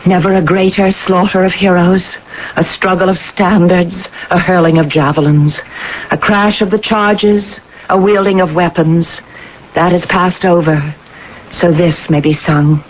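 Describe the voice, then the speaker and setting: A woman's voice: fairly low pitch, steady tone, a little slow. An actress playing a troubled, bewildered young woman haunted by dreams, earnest and uncertain, in a 1980s radio drama. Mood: trancelike reciting. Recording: dull, lo-fi old radio recording.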